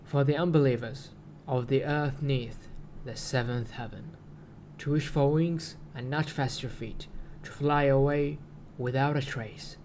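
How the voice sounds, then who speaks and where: A man voicing a solemn alien prophet who makes grandiose, halting proclamations in archaic words, as in a storybook reading. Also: mid-range voice, darkish tone, a little hiss or hum. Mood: ominous.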